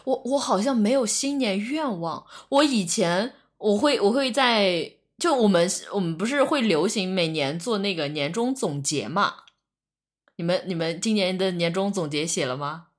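A woman in a casual podcast chat, speaking haltingly and repeating words as she goes. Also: medium pitch, expressive.

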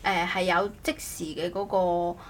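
A woman's voice: on the low side, little pitch movement, unhurried, slight background noise. A woman chatting casually as a podcast host, rambling and often correcting herself. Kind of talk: casual chat.

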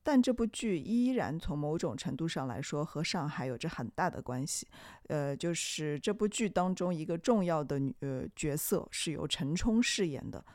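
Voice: mid-range voice, animated, moderate pace, darkish tone, dry recording. Reflective podcast host, close and dry. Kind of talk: podcast monologue about film.

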